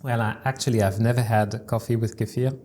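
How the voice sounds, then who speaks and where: A man's voice: medium pitch, steady tone, fairly quick, dark tone, a little hiss or hum. A man with a French accent, a theatre director chatting casually as an interview guest, hesitating and repeating words as he thinks. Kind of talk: casual interview chat in English.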